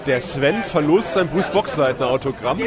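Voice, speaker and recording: A man's voice: a little high, expressive, normal speed. A man co-hosting a live podcast in a pub, moderating with dry remarks. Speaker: podcast co-host. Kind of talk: casual live podcast chat. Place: pub with a crowd. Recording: crowd chatter in a pub.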